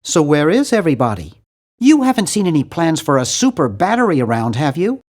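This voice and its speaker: A young, timid nerd from a cartoon adventure game. His pitch sits high for a man and swings widely from phrase to phrase. He sounds prim and bookish, with a wry, fussy edge. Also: big pitch swings, a little fast, darkish tone. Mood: polite, curious. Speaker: game character voice actor.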